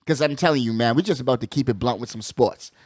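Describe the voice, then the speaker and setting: A man's voice: mid-range voice, fast talker, darkish tone, slightly rough, dry recording, clean studio sound. A man hosting a casual sports talk show, slangy and emphatic, often repeating his catchphrases. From United States. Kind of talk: casual hyped sports chat.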